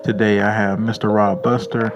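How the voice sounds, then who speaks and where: A man's voice: on the low side, little pitch movement, moderate pace, lo-fi sound, music in the background. Upbeat male interview host. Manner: upbeat, friendly, calls guest brother. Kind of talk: casual interview chat.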